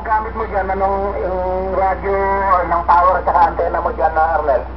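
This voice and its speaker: A man's voice: sits high, a little fast, over music. A man with a Filipino accent chatting casually as an amateur radio operator, mixing in English and radio jargon. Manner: code-switches into English, uses radio jargon. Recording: dull, very noisy amateur radio signal.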